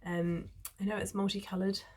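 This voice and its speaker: A woman with a New Zealand accent, slightly hoarse from a cold, chatting casually as she presents a sewing tutorial. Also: mid-range voice, level tone, normal speed, a little mellow. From New Zealand, Christchurch. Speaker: sewing vlogger.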